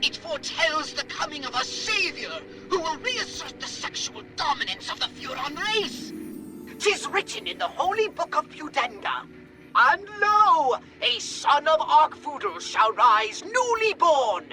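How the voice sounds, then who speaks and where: A man voicing a pompous, excitable alien commander in a video game, speaking in a grandiose, theatrical, wordy way. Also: very high voice, measured pace, vocal fry, soft background music, heavily acted, rough recording. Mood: fervent. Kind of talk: video game cutscene acting.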